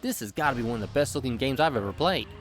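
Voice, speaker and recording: A man's voice: medium pitch, animated, rapid-fire, heavy background noise. A man in his thirties narrating a scripted comedic video game review with exaggerated, playful delivery. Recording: background music under the voice. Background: music.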